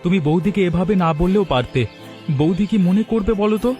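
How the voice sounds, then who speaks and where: A man's voice: high-pitched, a little fast, dark tone, soft background music, natural voice. A man voicing a meek, hesitant village husband in a cartoon, sounding doubtful and mildly chiding. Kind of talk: Bengali village cartoon dubbing.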